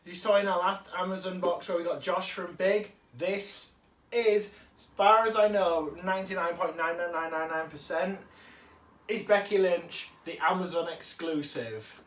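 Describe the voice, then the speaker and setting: A man's voice: sits high, unhurried, fairly bright tone. A man with a cold, chatting enthusiastically while unboxing a collectible figure and correcting himself along the way. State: has a cold. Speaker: toy collector vlogger. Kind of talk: casual unboxing chat. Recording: roomy, distant mic.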